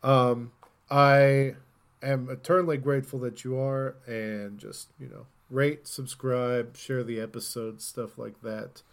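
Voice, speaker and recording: A man's voice: medium pitch, level tone, slow. Casual man hosting a podcast. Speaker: podcast host. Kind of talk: casual podcast chat about gaming news.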